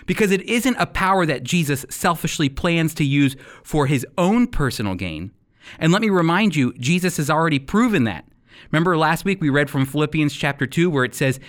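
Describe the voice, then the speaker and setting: American male Bible teacher, slightly high register, very lively intonation, emphatic and deliberate sermon delivery. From United States. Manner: emphatic, deliberate phrasing with rhetorical questions. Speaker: Bible teacher and radio program host. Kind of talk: sermon-style Bible teaching with scripture reading.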